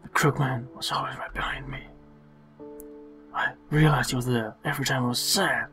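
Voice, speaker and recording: Man putting on a hushed, anxious young man. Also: mid-range voice, fairly even intonation, moderate pace, faint music behind, close to an everyday voice. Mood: haunted, hushed. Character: David, sad, haunted, anxious young man. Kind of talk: hammy game dialogue reading.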